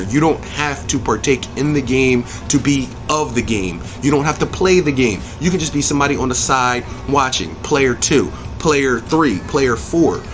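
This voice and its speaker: Blunt, profane man ranting over music. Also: medium pitch. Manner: emphatic, blunt and profane, with repeated refrains. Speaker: video game movie reviewer and channel host. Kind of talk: opinionated review rant to camera.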